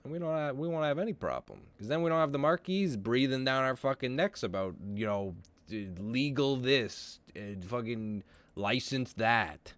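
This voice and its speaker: A man's voice: medium pitch, expressive, normal speed, fairly bright tone, dry recording, natural voice. A man voicing a foul-mouthed female smuggling boss in a tabletop game, pushy and brash. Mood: brash scheming. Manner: swears often, brash and pushy.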